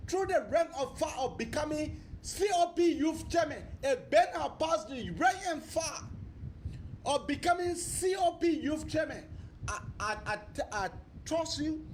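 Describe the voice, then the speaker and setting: A man hosting a political discussion, speaking in a halting, repetitive way. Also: very high voice, expressive, a little hiss or hum, rough recording. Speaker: talk show host.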